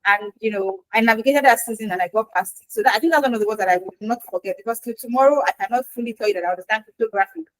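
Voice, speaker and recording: Fast-talking woman, high voice. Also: no room sound, rough recording. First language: Yoruba. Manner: lively, chatty storytelling with acted-out quotes. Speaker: guest expert in quality assurance. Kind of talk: AMA interview answer.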